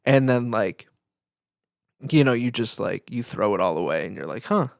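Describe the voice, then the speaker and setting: A man's voice: on the low side, animated, normal speed, creaky, no room sound, clean studio sound. A man co-hosting a podcast, telling things in a loose, slangy, conversational way full of filler words. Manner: informal, slangy, peppered with "like". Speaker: podcast co-host; photographer. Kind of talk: casual anecdotal chat.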